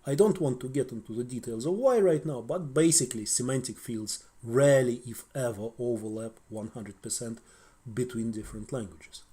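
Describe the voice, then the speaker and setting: A man's voice: mid-range voice, normal speed, mellow, breathy edge. A middle-aged man with a Russian accent giving a wry, conversational lecture on translation, often ending points with tag questions. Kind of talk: explanatory video lecture.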